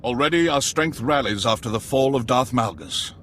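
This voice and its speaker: An actor playing a loyal, resolute general and pilot in a video game, with crisp military formality. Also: male voice, medium pitch, lively intonation, normal speed, a bit bright, slight background noise, close to an everyday voice. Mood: steady.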